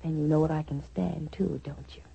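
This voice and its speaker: A trusting, affectionate young woman as the devoted daughter in a 1940s radio soap. Her voice is very dark and soft with some creak. She talks earnestly, over faint hiss from an old recording. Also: deep voice, fairly even intonation, normal speed, poor audio. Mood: earnest questioning. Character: devoted, happy grown daughter, trusting and eager.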